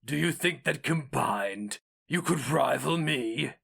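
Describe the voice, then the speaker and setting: A man's voice: on the high side, animated, takes it slowly, creaky, fairly bright tone, breathy edge, voice pushed up, heavily acted. A man voicing an imperious, menacing and powerful hero mage in a fantasy story, with a grating, clipped, theatrical delivery. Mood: challenging.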